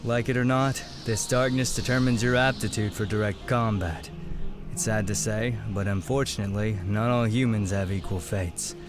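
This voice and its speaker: A man playing a proud, honourable warrior in a fantasy audio drama, with formal, measured phrasing. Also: fairly low pitch, fairly even intonation, music in the background, lightly acted. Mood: sincere, grave. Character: proud young warrior brother, intimidating yet honourable.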